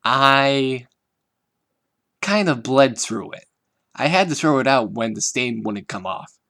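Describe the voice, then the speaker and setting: A vulnerable teenage girl carrying old guilt, as a man reads her in a fan reading. She speaks hesitantly, halting and low. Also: expressive, no room sound, clean studio sound, exaggerated voice. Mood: relieved. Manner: hesitant, quiet and defensive.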